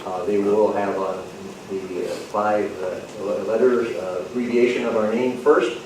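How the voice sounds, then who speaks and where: A man with a fairly low voice makes a procedural announcement to a club meeting. He pauses and hesitates as he goes. The recording is heavily echoey, distant from the microphone and clearly noisy. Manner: halting, procedural, drawn-out hesitations. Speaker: club officer running the election process. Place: indoor club meeting room. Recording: echoey noisy meeting room, far mic.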